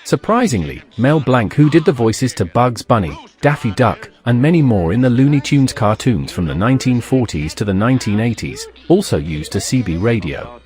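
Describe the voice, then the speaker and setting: A man's voice: medium pitch, sing-song, normal speed, few pauses, a little mellow, faint music behind. A man narrating a documentary from a script.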